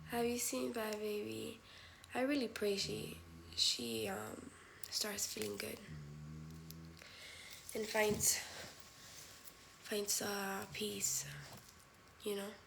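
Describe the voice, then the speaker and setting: A woman's voice: mid-range voice, little pitch movement, drawn-out words, gravelly, long pauses, noisy background. Blunt young woman chatting on a livestream. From Spain. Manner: candid, blunt and profane; mixes in Spanish phrases. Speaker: livestream host. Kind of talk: casual live chat with viewers.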